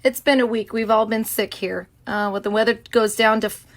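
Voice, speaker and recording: A middle-aged woman with a hoarse, scratchy throat hosts a casual craft livestream from her home studio. She chats warmly with viewers by name and fills pauses with many ums. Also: fairly high pitch, steady tone. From Florida.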